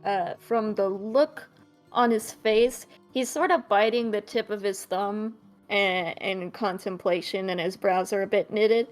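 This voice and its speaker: A woman narrates as game master of a tabletop roleplaying game in a medium-pitched voice. Light music plays behind her descriptions. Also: measured pace.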